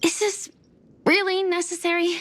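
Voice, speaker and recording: A woman playing a gentle, earnest young heroine in an anime-style video game. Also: very high-pitched, little pitch movement, moderate pace. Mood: startled, wary. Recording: music behind.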